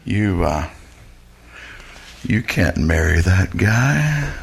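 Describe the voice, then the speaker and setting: A man playing a drunk, smitten writer, halting and poetic, in a 1940s-style radio comedy. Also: low voice, sing-song, gravelly, husky, heavily acted. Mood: tipsy, mocking.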